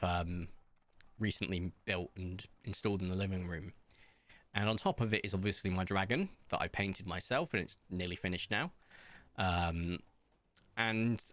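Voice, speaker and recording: A man streaming from home, chatting casually in a rambling, self-mocking way and pausing often. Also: fairly low pitch, fairly bright tone, no room sound. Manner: rambling, self-mocking, hesitant with many ums. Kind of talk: casual chat reading viewer comments while playing.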